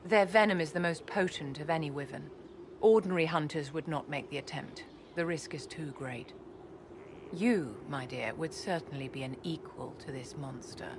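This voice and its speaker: A haughty court enchantress who talks down in a poised, formal way. Her voice sits low. Steady hiss runs under the game audio. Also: moderate pace. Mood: imperious. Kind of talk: video game cutscene dialogue.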